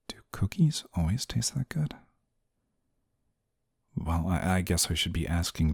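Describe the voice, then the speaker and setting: A stern, dutiful male guardian angel, acted by a man in an intimate audio roleplay. His voice is low, soft-toned and husky. He speaks formally, with a prim reserve that easily turns flustered. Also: dry recording, studio quality, heavily acted. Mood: awed, sheepish. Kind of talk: scripted audio roleplay, intimate one-sided dialogue.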